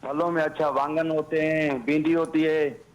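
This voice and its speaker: A man's voice: on the high side, flat delivery, moderate pace, noisy background. A man from Balochistan calling into a TV show, speaking politely and answering with short repeated agreements. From Balochistan, Pakistan. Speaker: phone-in caller. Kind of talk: phone call to a TV show.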